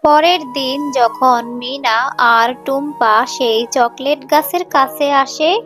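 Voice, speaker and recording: A woman's voice: high-pitched, takes it slowly, fairly bright tone. A woman narrating a scripted children's cartoon story. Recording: music under the voice.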